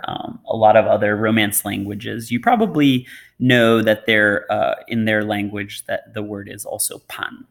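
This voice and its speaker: A man's voice: on the low side, gravelly, a bit bright. A man who is a native English speaker gives an informal, unscripted pronunciation lesson, repeating words and adding explanatory asides. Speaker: language teacher.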